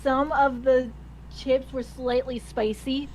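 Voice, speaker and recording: A woman's voice: sits high, little pitch movement, slow, darkish tone. A woman in her twenties chatting casually with friends on a stream. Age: 20s. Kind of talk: casual chat. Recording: faint background noise and hum.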